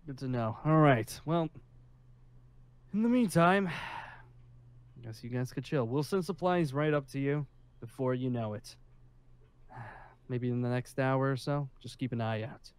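A man playing an officious, somewhat awkward leader of a survivor haven in an improvised zombie roleplay, speaking formally with hesitant pauses. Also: medium pitch, clean tone, dry recording, natural voice. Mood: awkwardly polite. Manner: formal and rule-minded, with hesitant pauses and sighs.

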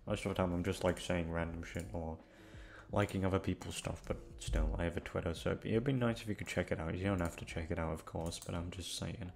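A man's voice: low-pitched, fairly even intonation, quick pace, vocal fry, a little mellow. A man chatting casually and hesitantly to his listeners, rambling along in a self-deprecating, sweary way. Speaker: audio content creator.

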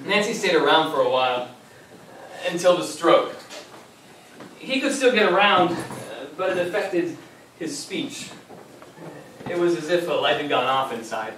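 High-voiced young grandson, very echoey stage actor. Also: male voice, a bit bright. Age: young adult. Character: fond, patient young grandson remembering his grandfather. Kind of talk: memory play monologue and dialogue. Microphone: far audience camera. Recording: very echoey theater, distant camera, faint noise.

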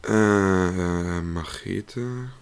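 A man's voice: low-pitched, very slow, creaky, smooth. A young man commentating a survival game, swearing often and yelping when startled, slightly ill and coughing. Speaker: let's play gamer. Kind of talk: casual gameplay commentary.

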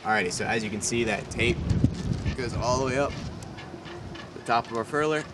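A man's voice: mid-range voice, moderate pace. A young man casually explaining sail measurements on his boat outdoors in a boatyard, talking into a handheld camera. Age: young adult. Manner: easygoing, explaining step by step. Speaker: sailing vlog co-host. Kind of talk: casual how-to vlog. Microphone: handheld camera close up. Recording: faint outdoor wind noise.

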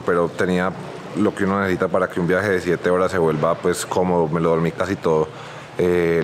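A young man filming himself at arm's length as a travel vlogger, talking casually and cheerfully about his trip. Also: deep voice. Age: young adult. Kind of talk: casual travel vlog to camera. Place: city streets and a bus terminal, mostly outdoors. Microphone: camera held at arm's length. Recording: loud urban street noise behind.

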